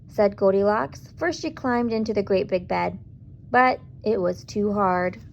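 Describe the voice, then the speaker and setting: A woman reading a children's story aloud with deliberate, dramatic emphasis, speaking directly to young listeners. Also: on the high side, a little mellow, lo-fi sound, slight background noise. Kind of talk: expressive children's read-aloud with asides to listeners.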